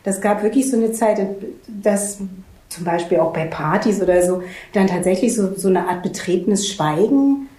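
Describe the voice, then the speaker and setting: Woman from eastern Germany, wry anecdotal delivery, mid pitch, echoey room. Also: normal speed, mellow. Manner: wry, storytelling, laughing at herself. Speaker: interviewee. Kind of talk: personal anecdote in an interview.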